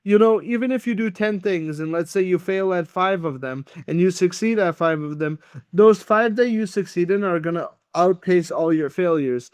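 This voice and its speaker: Earnest high-voiced man, motivational talk. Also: smooth, dry recording, studio quality. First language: Russian. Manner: earnest, motivational, repeats words when starting sentences. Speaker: podcast guest. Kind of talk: conversational interview.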